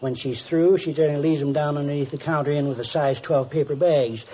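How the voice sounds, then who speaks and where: An actor playing a folksy, garrulous, eager henpecked shopkeeper in a 1950s radio drama. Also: male voice, a little high, steady tone, quick pace, mellow, close to an everyday voice. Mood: folksy, chatty. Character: folksy, chatty, henpecked small-town-style shopkeeper husband. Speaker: crime victim reporting stolen checks. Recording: noisy, dull vintage 1950s radio recording.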